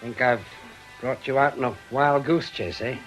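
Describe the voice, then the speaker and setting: A British actor playing a fearful, stubborn, obsessive writer in a radio drama, jittery and urgent. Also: male voice, medium pitch, moderate pace, faint music behind, natural voice. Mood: wary, stubborn. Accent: British English.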